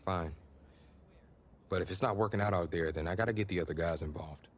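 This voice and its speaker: An actor playing an earnest young quarterback, conciliatory yet proud, in scripted video game dialogue. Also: male voice, low voice, brisk. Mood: apologetic. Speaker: actor in a football game's story scenes.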